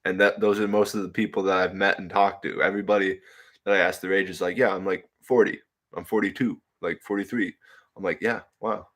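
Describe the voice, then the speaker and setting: A young man in his twenties with a deep, low voice talks loosely to his livestream chat. He rambles in a laid-back way, reads comments aloud, and laughs easily between thoughts. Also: steady tone, fairly quick, a bit bright. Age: 20s. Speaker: livestream host reading chat. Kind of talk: casual solo livestream chat.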